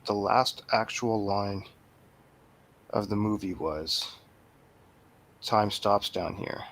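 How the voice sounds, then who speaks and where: A man's voice: on the low side, slow, a bit bright. A man taking part as a panelist in a casual movie review conversation.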